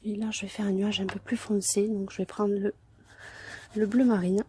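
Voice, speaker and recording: A woman with a cold, speaking softly and hoarsely, often dropping to a near whisper as she calmly explains a craft tutorial step by step. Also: mid-range voice, moderate pace, a little hiss or hum. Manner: soft-spoken, often near a whisper, hesitant.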